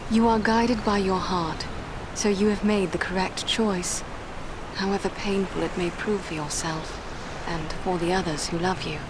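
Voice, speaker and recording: An actress playing a courteous, earnest elven warrior in a fantasy game, with composed, formal phrasing. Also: female voice, fairly low pitch, fairly even intonation, normal speed, background noise, lightly acted. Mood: sympathetic. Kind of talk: dramatic fantasy video game acting.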